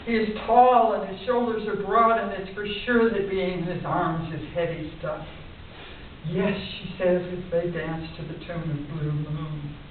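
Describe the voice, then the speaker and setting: An older woman reads her poetry live at a lectern, with informal spoken asides in an expressive, wryly humorous manner. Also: lowish voice, a little slow. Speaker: poet reading her work to a conference audience. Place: indoor conference room, at a lectern. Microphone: distant camera in the audience. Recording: distant, echoey, muffled narrow-band with hiss.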